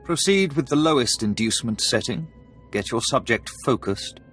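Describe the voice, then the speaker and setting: An actor playing a cold, contemptuous Sith overseer who gives curt orders in a science fiction game. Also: male voice, mid-range voice, expressive, normal speed, fairly bright tone, soft background music, lightly acted. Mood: coldly instructive. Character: stern, contemptuous Sith overseer instructing an acolyte in torture.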